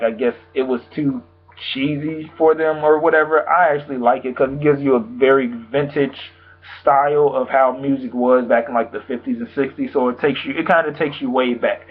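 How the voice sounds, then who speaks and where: A young man, slightly hoarse from a sore throat, giving a rambling, casual album review full of fillers. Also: medium pitch. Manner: rambling, loose, full of "like" and "you know". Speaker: music reviewer. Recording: tinny phone-like sound.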